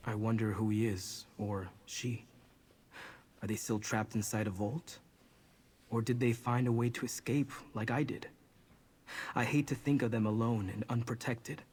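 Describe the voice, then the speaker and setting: An actor playing a somber, protective young outcast in a fantasy video game, speaking earnestly and in a measured way. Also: male voice, medium pitch, steady tone, darkish tone, slightly rough. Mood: grave, troubled.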